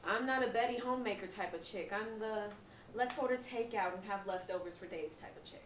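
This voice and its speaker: An actress playing a brash, dramatic young woman roommate in a live stage comedy, sassy and loud. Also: medium pitch, brisk, close to an everyday voice. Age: young adult. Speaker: stage actor. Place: small theatre stage. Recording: roomy stage, distant mic, faint noise.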